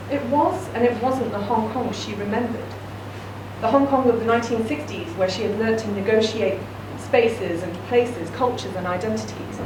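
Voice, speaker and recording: Woman reading a story in an echoey room. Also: a little high. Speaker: reader. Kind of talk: reading a short story aloud. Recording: echoey room, distant mic, noisy.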